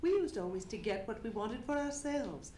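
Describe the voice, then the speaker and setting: A woman's voice: on the high side, expressive, normal speed, warm tone, close to an everyday voice. A woman playing a polite, earnest young lady client in an old-time radio play, answering in a proper, explanatory way. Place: live theatre stage performance. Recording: echoey stage recording with background noise.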